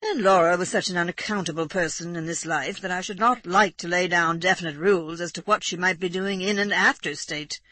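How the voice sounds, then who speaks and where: A woman reading aloud, voicing a dry, ironic older gentleman. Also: on the low side, brisk, few pauses, dry recording.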